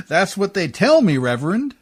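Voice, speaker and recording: A man's voice: high voice, very animated, unhurried, slightly rough, exaggerated voice. A man playing an uncouth, good-natured riverboat pilot in an amateur radio play, with a folksy, chuckling manner. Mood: casual, unconcerned. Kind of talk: amateur radio play acting in old Hollywood style.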